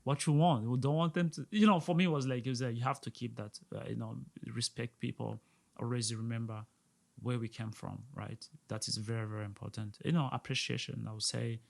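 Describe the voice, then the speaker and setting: A man's voice: medium pitch, steady tone, fairly quick, creaky. An earnest man from Burundi in middle age talks as a podcast guest in a mid-range voice. He presses his points by repeating words as he speaks. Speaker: restaurant owner, guest. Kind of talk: casual interview talk.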